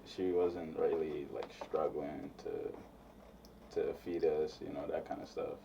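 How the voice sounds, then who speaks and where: A young man raised in Nigeria answering interview questions in a laid-back, halting way with many fillers. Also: extremely low pitch, level tone. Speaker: guest musician. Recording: distant, slightly muffled mic with faint background noise.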